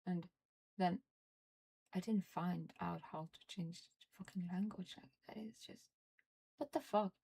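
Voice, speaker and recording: A young woman from Norway, speaking English as a second language, talks slowly in a low, gravelly voice with a mellow, dark tone. She grumbles and curses at her software, often dropping to a muttered whisper. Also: fairly even intonation, a little hiss or hum. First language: Norwegian. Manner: frustrated, swearing, muttering under her breath. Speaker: streamer. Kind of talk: casual livestream chat while fiddling with software.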